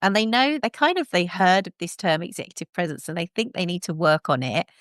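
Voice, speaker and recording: A woman podcast host with a warm, relaxing voice, talking in a thoughtful, conversational way. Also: on the low side, big pitch swings, brisk, dry recording, studio quality.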